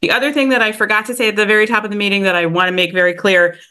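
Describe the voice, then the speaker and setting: A woman's voice: mid-range voice, steady tone, rapid-fire, sharp tone, smooth. A woman chairing a public meeting over a video call, upbeat and effusive, with frequent fillers. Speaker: committee chair.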